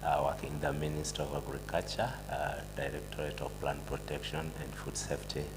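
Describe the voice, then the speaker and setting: Very deep-voiced man from Kenya. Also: monotone, creaky. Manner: hesitant, with pauses. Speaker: plant protection official. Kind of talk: self-introduction at a workshop. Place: conference hall. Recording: reverberant hall with music.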